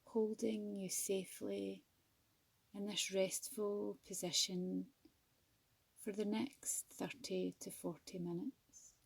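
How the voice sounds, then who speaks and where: Slow, breathy woman guiding meditation. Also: mid-range voice, little pitch movement, no echo, poor audio. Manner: soothing, gentle, measured with long pauses. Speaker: yoga nidra teacher. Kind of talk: guided meditation.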